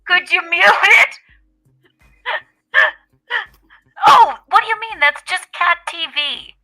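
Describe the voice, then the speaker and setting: A woman putting on a loud, singsong voice, cooing at kids and a cat on a livestream. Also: very high voice, lively intonation, takes it slowly, faint music behind, voice pushed up, exaggerated voice, low-quality recording. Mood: cooing mock. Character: shrill, sing-song adult cooing at kids and a cat. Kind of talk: mock voice on a livestream.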